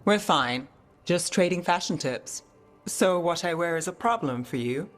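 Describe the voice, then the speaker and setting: An actor playing a self-assured, teasing fantasy mage in a video game, unruffled and probing. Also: male voice, fairly high pitch, lively intonation, unhurried, fairly bright tone, soft background music. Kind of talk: video game cutscene acting.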